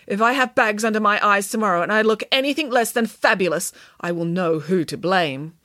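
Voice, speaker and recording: A woman's voice: mid-range voice, a little fast, a bit bright, no room sound, clean studio sound, close to an everyday voice. A woman voicing a small, vain, bossy pig nanny in a storybook, exclaiming imperiously. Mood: grumpy.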